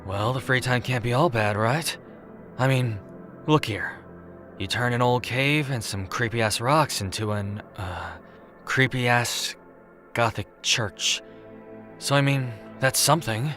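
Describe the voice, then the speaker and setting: A man's voice: medium pitch, takes it slowly, fairly bright tone. Man as a wry death god over music. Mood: wry, awkward. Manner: dry, sardonic, casual, slightly awkward. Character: wry, weary, awkward elder-brother death god. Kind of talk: audio drama acting, conversational. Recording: prominent background music bed.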